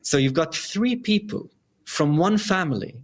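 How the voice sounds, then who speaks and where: A middle-aged man from Dubai with a light Dubai accent, answering interview questions in measured, explanatory short phrases. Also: fairly high pitch, expressive. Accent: light Dubai English. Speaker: podcast guest, company founder.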